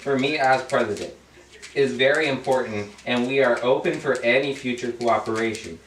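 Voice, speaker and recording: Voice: medium pitch, moderate pace, close to an everyday voice. An amateur actor playing an earnest, deferential Ukrainian president who is eager to please. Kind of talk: amateur student satire, read dialogue. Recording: roomy, distant mic, background noise.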